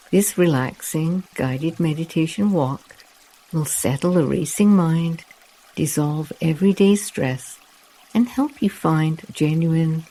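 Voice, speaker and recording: This is a meditation guide with a warm, mellow voice who talks very slowly. The delivery is soft and soothing, with long pauses that leave room to breathe, as in guided relaxation narration. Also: mid-range voice, poor audio.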